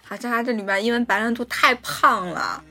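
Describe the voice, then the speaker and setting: Bright-voiced woman in casual chat. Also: a little high, brisk. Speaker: podcast co-host. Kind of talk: casual film discussion.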